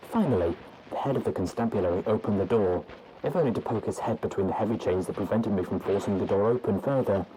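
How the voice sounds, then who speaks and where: A man reading a story's journal entries aloud in a measured, formal way. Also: lowish voice, dark tone, slight background noise, rough recording. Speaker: narrator. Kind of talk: audiobook narration of journal entries.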